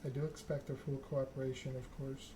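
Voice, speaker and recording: A man's voice: medium pitch, level tone, fairly quick, close to an everyday voice. A man reading the part of a poised, persuasive vampire woman, polite and careful in speech. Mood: diplomatic, composed. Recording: clear background noise.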